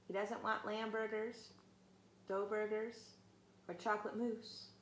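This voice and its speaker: A woman teacher reading a storybook aloud to children at home, pausing gently to ask them questions. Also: medium pitch, flat delivery, roomy sound, slight background noise. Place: home, pets nearby. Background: dogs playing.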